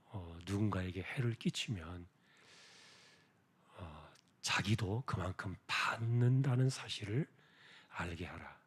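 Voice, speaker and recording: A man preaching an expository sermon as a pastor, explaining deliberately with rhetorical questions and a husky voice. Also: low voice. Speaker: pastor preaching at a church prayer meeting.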